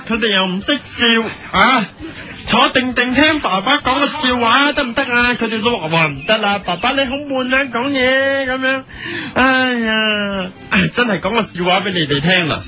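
A man's voice: extremely high pitch, lively intonation, moderate pace, over music. An actor playing a cheerful but wistful elderly villager who chuckles and sighs as he rambles in a mock documentary radio comedy. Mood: jovial, chatty. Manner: chuckling, sighing, rambling storyteller.

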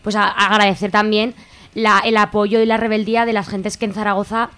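A woman's voice: medium pitch, flat delivery, a little fast, fairly bright tone, smooth. A woman with an accent from Spain talking informally as a festival organizer in a radio interview. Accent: Spanish from Spain.

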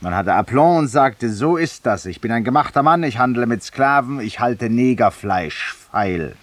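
A man's voice: medium pitch, expressive, moderate pace, no room sound, natural voice. An actor playing a vain, ironic, confessional man in a 1950s radio drama monologue, urbane and theatrical, addressing a silent companion. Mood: ironic, savouring. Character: vain, confessional, ironic male Parisian lawyer in exile. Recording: distant-sounding 1950s radio studio recording.

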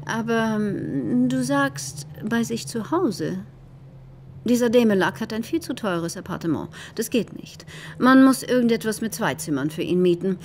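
An actress in a radio play playing a wealthy, skeptical, calculating wife with a dismissive, hesitant manner. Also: female voice, on the high side, animated, a little slow, slight background noise. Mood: skeptical, reluctant. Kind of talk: German radio play dialogue.

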